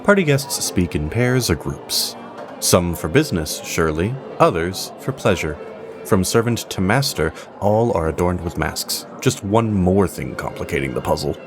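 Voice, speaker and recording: Deep guy narrating a fantasy audio drama, measured and theatrical, music underneath. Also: animated. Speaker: storyteller, narrator.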